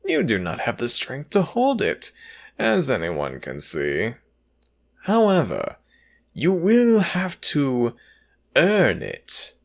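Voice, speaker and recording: A man playing a cruel, gloating slave master in an ASMR audio drama, taunting and condescending with drawn-out, emphatic phrasing. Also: medium pitch, wildly swooping pitch, vocal fry, full character voice. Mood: mocking. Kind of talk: ASMR audio roleplay, theatrical villain monologue.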